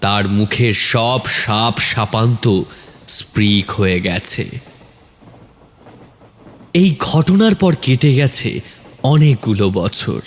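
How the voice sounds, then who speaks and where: A man's voice: lowish voice, animated, slow pace, pauses often. Reverberant male horror story narrator. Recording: long reverb on the voice.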